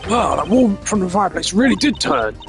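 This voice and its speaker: A man voicing an eager, bumbling, deferential police detective from a video game, excitable and quick to say sir. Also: sits high, creaky, pitched above the performer's own voice, exaggerated voice. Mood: anxious excited. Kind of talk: hobby voice acting of a video game. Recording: music behind the voice.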